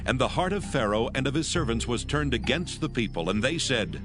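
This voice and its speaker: A man narrating scripture in a measured, solemn manner for a dramatized audio Bible. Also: mid-range voice, expressive. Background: loud music.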